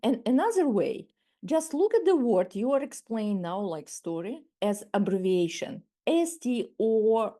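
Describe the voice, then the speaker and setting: A woman of around sixty from Ukraine, with a Ukrainian accent and Ukrainian as her first language. She gives a measured webinar masterclass over a video call and often restarts and repeats words. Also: fairly high pitch, big pitch swings, mellow. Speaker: masterclass speaker. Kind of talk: webinar talk.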